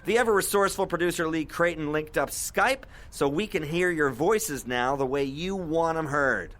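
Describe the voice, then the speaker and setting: A man's voice: on the high side, moderate pace, a bit bright, close to an everyday voice. An actor playing a brash, irreverent internet radio host with a sardonic, punchy showman's delivery in an audio drama. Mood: sardonic, showy. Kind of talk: audio drama acting as live call-in radio show.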